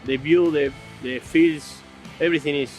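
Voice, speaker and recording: A man's voice: fairly high pitch, fairly even intonation, normal speed, a little mellow. A man from Argentina, a polo player, speaking hesitantly in an interview clip. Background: guitar music.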